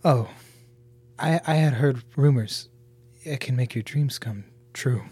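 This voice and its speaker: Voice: mid-range voice, slow pace, warm tone, breathy edge. A stammering, anxious customer. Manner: stammering, hesitant. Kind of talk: audio drama acting.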